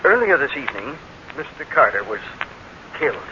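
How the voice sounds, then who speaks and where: A man's voice: medium pitch, sing-song, normal speed, close to an everyday voice. An actor playing a meek, nervous little businessman in 1940s radio drama style, hesitant and earnest. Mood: anxious, earnest. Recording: noisy, muffled 1940s radio recording.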